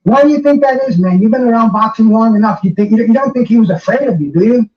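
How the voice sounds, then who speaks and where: A man's voice: high voice, fast talker. An older man hosting a casual interview, stammering and repeating words, with an admiring way of talking. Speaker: host interviewer, boxing fan. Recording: muffled, roomy, distant from the mic.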